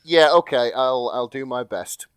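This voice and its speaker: A man hosts a comic podcast in a casual, wry way. His voice sits at an ordinary mid pitch, recorded dry with hardly any room around it. He explains things patiently and slips into reading aloud. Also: unhurried, a bit bright, smooth, dry recording. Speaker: podcast host reading and recapping fan fiction.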